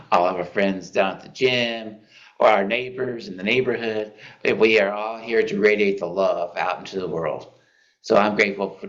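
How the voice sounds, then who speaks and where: A man giving a calm, affirming closing prayer for a congregation. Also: lowish voice. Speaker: prayer leader. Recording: lo-fi muffled recording.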